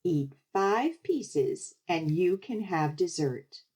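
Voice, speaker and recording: A woman's voice: fairly low pitch, sing-song, slow pace, a little mellow, exaggerated voice. Woman as a firm, lilting storybook pea parent. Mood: firm, coaxing. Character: firm pea parents urging their child to eat.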